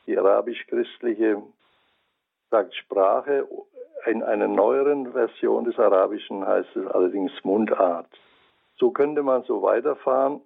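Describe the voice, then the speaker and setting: Man, Franconian German accent, unhurried, gravelly, scholarly, thin phone-like radio sound. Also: on the low side. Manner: measured, scholarly, searching for words. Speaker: commentator on Bible translation. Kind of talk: reflective spoken radio essay.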